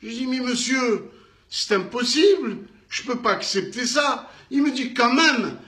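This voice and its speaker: A man with a North African French accent telling a business anecdote in an animated way, acting out the dialogue with emphatic repetitions. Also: very high voice, unhurried, echoey room. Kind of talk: animated storytelling.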